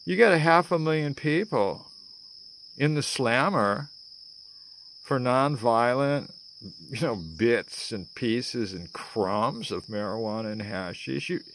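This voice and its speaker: Slow-talking older American man, theatrical. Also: medium pitch, expressive, creaky, fairly bright tone, slight background noise, low-quality recording. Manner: theatrical, dramatic pauses, chuckling asides. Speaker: vlogger. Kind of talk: spoken-word political rant to camera. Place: outdoors among greenery. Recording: outdoor ambience with chirping insects.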